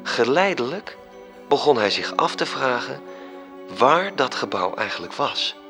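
A man narrating a literary radio drama in a measured, serious storytelling manner. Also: mid-range voice, big pitch swings, music in the background.

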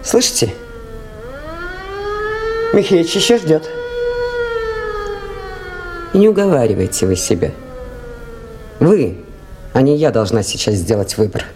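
A woman's voice: extremely low pitch, big pitch swings, takes it slowly, long pauses, exaggerated voice. An actress playing a stubborn, plain-spoken river woman in a radio play, with a blunt, dry, defiant delivery. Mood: defiant, challenging. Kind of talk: 1980s radio play acting. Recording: faintly noisy 1980s radio drama recording.

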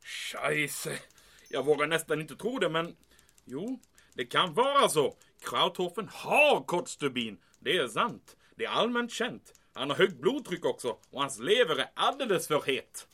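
An actor playing a bombastic, paranoid German military commandant in an audio drama, excitable, barking orders and peppering his speech with German. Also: a little high, slightly rough, exaggerated voice. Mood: grave, stunned.

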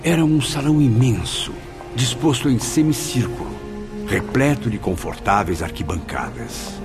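An actor playing an earnest, respectful spirit pupil who speaks courteously and expressively in a radio drama. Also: male voice, mid-range voice, expressive, normal speed, lightly acted. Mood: reverent. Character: earnest, respectful male spirit pupil recounting his afterlife. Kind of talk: radio drama acting and narration. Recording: music behind the voice.

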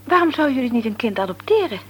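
A woman's voice: fairly high pitch, very animated, very fast, a little hiss or hum. An actress playing a chatty, frank, opinionated woman in a Dutch radio play, with an emphatic, reassuring manner. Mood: chatty, earnest. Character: Maartje, chatty, frank, sociable sister-in-law and family friend. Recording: radio drama recording.

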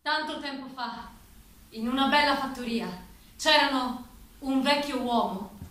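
A bright-voiced solemn storyteller narrates a dark stage fable with measured, dramatic pauses. The sound is distant and very echoey, with faint noise. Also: female voice, high voice. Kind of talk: theatrical storytelling.

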